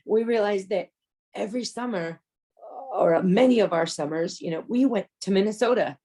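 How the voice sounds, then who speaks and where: A woman's voice: mid-range voice, moderate pace, mellow, husky. A woman co-hosting a podcast, chatty and personal, laughing easily and dropping in plenty of fillers. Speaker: podcast co-host. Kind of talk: casual podcast discussion.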